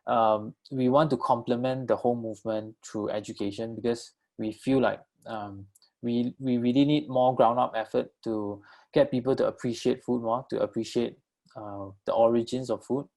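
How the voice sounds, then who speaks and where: A man from Malaysia giving a virtual talk about his venture and taking audience questions, with many pauses, fillers and restarts. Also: medium pitch, steady tone, darkish tone. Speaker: co-founder presenting his enterprise.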